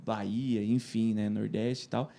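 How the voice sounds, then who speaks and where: A Brazilian man with a Brazilian Portuguese accent, telling an animated story in a casual chat. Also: lowish voice, unhurried, gravelly, slight background noise. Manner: animated storyteller, imitates others. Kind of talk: casual anecdote.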